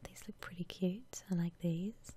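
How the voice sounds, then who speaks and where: A woman whispering softly and breathily into a close microphone, musing aloud in casual ASMR gameplay commentary. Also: on the low side, fairly even intonation, a little slow, a little hiss or hum. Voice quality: soft breathy whisper, almost entirely unvoiced. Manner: gentle, musing aloud to herself. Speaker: ASMR gamer narrating her house renovation gameplay.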